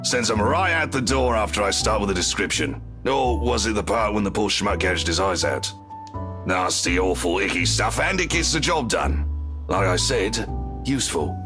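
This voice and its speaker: A very low-pitched older barkeeper played by a male actor speaks with gruff, teasing bluntness. His slangy, wry delivery belongs to a story-driven video game, with music playing underneath. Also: lively intonation, normal speed, lightly acted. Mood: teasing, blunt. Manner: blunt, wry, slangy, calls listeners kiddo. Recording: voice over background music.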